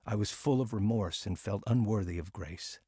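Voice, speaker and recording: A man's voice: on the low side, moderate pace, dark tone, breathy edge, no room sound, clean studio sound. An actor playing a proud, remorseful husband and father who tells his own story in an audio drama. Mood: reflective narration. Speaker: lead actor and first-person narrator. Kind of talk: dramatised testimony radio drama.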